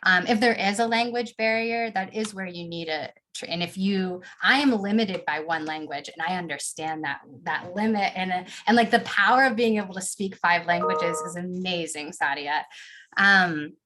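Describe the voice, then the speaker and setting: Podcast producer, woman, low voice, measured panel talk with pauses. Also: sharp tone, slight background noise. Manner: practical, thinking aloud with pauses. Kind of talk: panel discussion.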